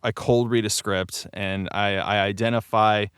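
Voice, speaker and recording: A man with a clear, smooth voice in a casual panel chat. He talks like an animated storyteller, and the sound is close and dry. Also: lowish voice, a little slow, no room sound. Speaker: voice actor discussing his role.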